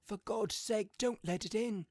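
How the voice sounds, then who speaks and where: A man's voice: high voice, measured pace, a little mellow, dry recording, voice pushed up. Man acting a frightened old husband, raised voice. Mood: scared, pleading. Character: frightened old husband, pleading and shaking with fear. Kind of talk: audio storybook character voice.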